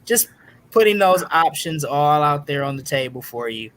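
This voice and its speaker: A man hosting a casual online trivia game show, announcing questions and results in a deliberate, drawn-out way. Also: a little high, expressive, a bit bright, slight background noise.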